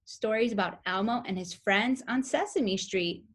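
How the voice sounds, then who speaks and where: A young woman reading a picture book aloud to toddlers as a preschool teacher, with simple, expressive phrasing and questions for the listeners. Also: mid-range voice, moderate pace. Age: young adult. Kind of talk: children's story read-aloud.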